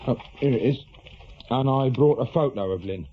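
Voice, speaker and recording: An actor playing a jealous, aggrieved small-time crook with an English accent, talking bluntly and colloquially in a radio drama. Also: male voice, medium pitch, moderate pace, highs cut off, slight background noise, close to an everyday voice. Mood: uneasy. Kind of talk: naturalistic British radio drama dialogue.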